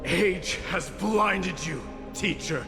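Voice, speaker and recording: Indignant young fantasy game royal, slow, forceful delivery, heavy reverb. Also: male voice, high-pitched. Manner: forceful, demanding, formal. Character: proud, indignant young warrior prince. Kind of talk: dramatic video game voice acting. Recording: long reverb, music behind.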